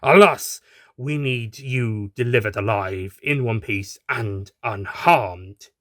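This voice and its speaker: A menacing thug of a man, performed by a male storyteller in an audio story. He speaks in a slow, gloating drawl of mockery, sinister throughout. The recording is very dry. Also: lowish voice, fairly bright tone, dry recording.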